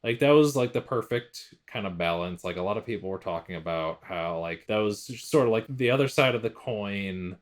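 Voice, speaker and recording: A man's voice: fairly low pitch, lively intonation, moderate pace, few pauses. A man co-hosting a podcast, chatting casually about what he reviews in a tentative, hedging way. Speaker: podcast co-host.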